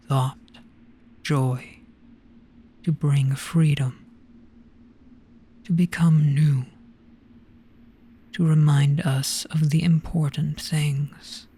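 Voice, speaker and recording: A performer voicing an ancient, gentle, weary monster in a hypnotic roleplay, speaking very slowly in a grave, breathy hush. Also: mid-range voice, full character voice. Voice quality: breathy, whispery. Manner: very slow, hushed, grave.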